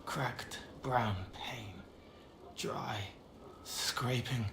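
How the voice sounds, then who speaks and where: A compassionate young male spirit in a fantasy game. He speaks very slowly in broken, haunted phrases at a medium pitch. The sound is roomy, with clear noise behind it. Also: fairly even intonation. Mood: soft, sorrowful. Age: young adult. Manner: halting, fragmented, murmured phrases. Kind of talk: dramatic video game acting.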